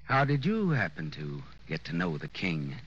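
An American actor playing a wisecracking, tough private detective in old-time radio noir, wry and deadpan. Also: male voice, low-pitched, normal speed, slightly rough, exaggerated voice. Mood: probing, dry. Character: wisecracking, tough male private detective narrating his case. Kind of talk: 1940s radio noir acting and hardboiled narration. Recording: muffled old-time radio recording with music.

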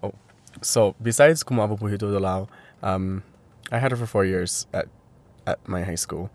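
Brief: young man from Hawaii, lowish voice, halting, filler-heavy interview answers. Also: darkish tone. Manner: hesitant, many fillers and restarts. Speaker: interviewee, language teacher.

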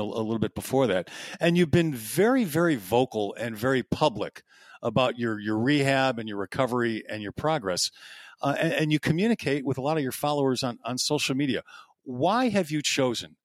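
A man interviewing a guest conversationally, choosing his words carefully with some halting repetition. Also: medium pitch, no room sound, studio quality.

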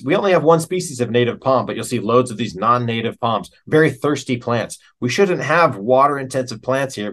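A middle-aged American man with an ordinary mid-pitched voice talks casually as a guest. He rambles with enthusiasm, checking himself along the way. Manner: enthusiastic, rambling, self-aware. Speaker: interview guest, musician. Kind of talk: casual interview chat.

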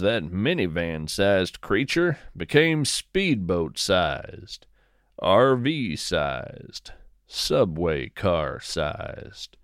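Casting: male fiction podcast narrator, low-pitched and unhurried, dramatic storytelling with drawn-out words, dry close sound. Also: dry recording. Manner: deliberate, dramatic, vivid phrasing with drawn-out words. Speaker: host and narrator of an audio fiction show. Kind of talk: scripted first-person storytelling narration.

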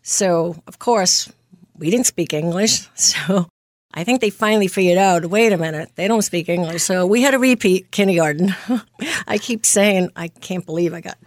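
A woman in her fifties from Greece, a nurse practitioner telling her story as an interview guest, earnest and quick to laugh. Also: lowish voice, no echo, studio quality. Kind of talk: casual interview.

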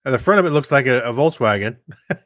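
A male co-host in relaxed podcast conversation. He speaks at an average male pitch in a plain-spoken, casual manner, recorded dry with little room sound. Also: quick pace, no echo. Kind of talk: casual chat.

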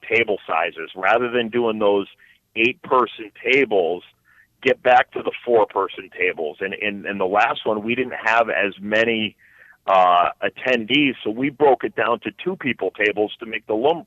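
Narrowband phone-like audio, man, lowish voice, halting casual explanations, repeated restarts, interview guest. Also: no echo. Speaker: podcast guest, financial advisor. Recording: thin phone-like line, dry.

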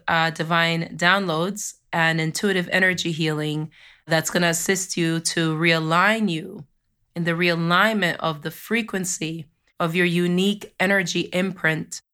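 A woman's voice: fairly low pitch, pushed and bright, clean tone. Jamaican-born woman host with a cold. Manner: slow, chanting affirmations, then loose rambling with many fillers. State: has a cold, losing her voice. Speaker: podcast host. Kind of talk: spiritual podcast monologue.

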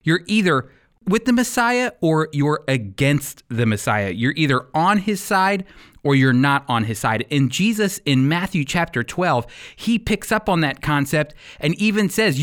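Lively higher-voiced man teaching. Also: unhurried. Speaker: radio host and Bible teacher. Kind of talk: scripted teaching and commentary.

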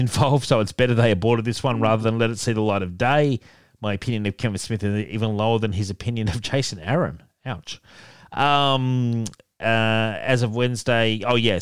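A man's voice: on the low side, clean tone, dry recording. A man with an openly nasal voice reads listener emails aloud and chuckles often. The sound is dry and close, with no room around it. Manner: laughs often, chuckling between remarks. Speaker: podcast co-host reading listener emails. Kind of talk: casual chat.

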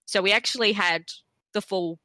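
A woman with an Australian accent and a croaky voice, talking quickly and laughing often as she co-hosts a sports podcast. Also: mid-range voice, sharp tone, dry recording. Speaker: podcast co-host. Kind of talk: casual sports chat.

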